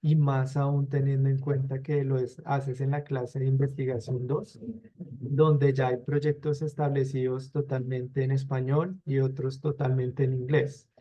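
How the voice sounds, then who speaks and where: A man, a university teacher, giving academic feedback on a student's project with many fillers and pauses. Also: medium pitch, little pitch movement, dark tone, low-quality recording.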